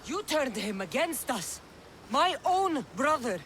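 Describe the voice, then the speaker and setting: A woman's voice: high-pitched, big pitch swings, slow, a bit bright, a little hiss or hum, heavily acted. A woman playing a tough, determined Greek mercenary in dramatic video game dialogue, firm and resolute. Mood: angry, bitter.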